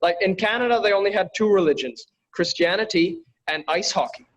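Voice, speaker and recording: A high-voiced man performs stand-up from afar over a video call. He delivers his jokes in a dry, deadpan way. He grew up in Bangladesh. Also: fairly quick, low-quality recording. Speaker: stand-up comedian.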